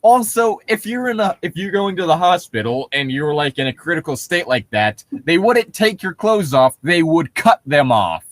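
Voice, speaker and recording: A man's voice: on the high side, very animated, moderate pace, hardly any pauses. A man hosting a casual read-along, switching between reading a story and sarcastic, nitpicking, sometimes profane commentary. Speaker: host reading a story aloud with mocking commentary.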